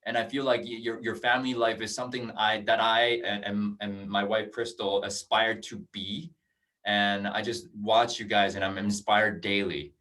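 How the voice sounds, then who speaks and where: A man's voice: on the low side, flat delivery, normal speed, bright, forceful tone, far from the mic, poor audio. A man in his forties hosting a casual video-call interview, friendly and rambling with frequent restarts. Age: 40s. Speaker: host.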